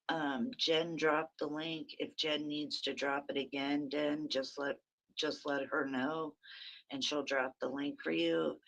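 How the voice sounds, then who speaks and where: A woman with a very raspy voice, hosting a rambling, chatty early-morning livestream from her phone and talking to viewers by name. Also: deep voice, rough recording. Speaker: livestream host.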